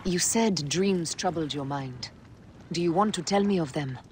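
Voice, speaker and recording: An actress playing a resolute, grieving Egyptian warrior woman loyal to her queen, solemn and measured, in a video game. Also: low-pitched, darkish tone, slight background noise. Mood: gentle, subdued. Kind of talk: dramatic video game acting.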